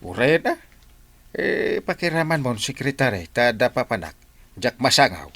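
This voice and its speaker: A man's voice: medium pitch, sing-song, moderate pace, gravelly, a bit bright, heavily acted. A man with an Ilocano accent playing a flustered, defensive village captain and husband in a comedy radio drama. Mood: coaxing, businesslike.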